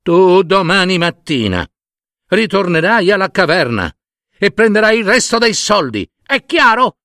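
A man voicing a bossy, greedy village wife in a folk tale, exclaiming and nagging with drawn-out emphatic words. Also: high voice, sing-song, a bit bright, no echo, clean studio sound, pitched above the performer's own voice, full character voice. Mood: angry bossy.

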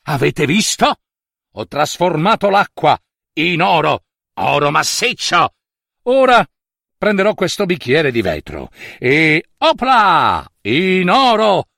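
A man voicing an excitable, greedy king who stammers eagerly in a storybook tale. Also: high-pitched, vocal fry, no room sound, studio quality, heavily acted.

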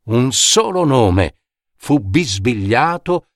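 A man tells a dark fairy tale in a slow, deliberate voice of middling pitch. He is theatrical and measured, leaving suspenseful pauses between short phrases. The sound is dry, with no room around the voice. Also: very animated, no echo. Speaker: storyteller and author reading his own fairy tale. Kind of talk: dramatic scripted fairy-tale narration.

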